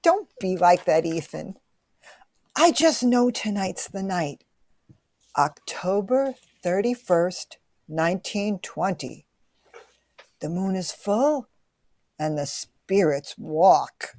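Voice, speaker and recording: A woman's voice: on the low side, expressive, slow pace, pauses often, a little mellow, no echo, full character voice. A woman playing a grieving, hopeful young 1920s little sister in a radio play. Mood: hopeful, confiding.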